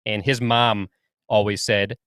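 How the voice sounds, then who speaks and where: A man co-hosting a podcast in casual chat, chatty and quick to laugh. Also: lowish voice, flat delivery, fairly bright tone, smooth, dry recording, studio quality. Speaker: podcast co-host.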